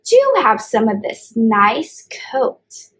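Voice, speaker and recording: Lady doing a worried mama goat from a picture book, high and swoopy, coaxing but disapproving. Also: huge pitch swings, slow, a bit bright, heavily acted. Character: mother goat, concerned, disapproving storybook parent.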